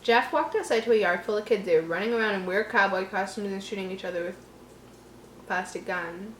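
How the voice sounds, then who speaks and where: A woman's voice: medium pitch, brisk, a bit bright, roomy sound, a little hiss or hum, low-quality recording. A woman reading a horror story aloud casually, giggly and reactive, breaking off to joke and comment.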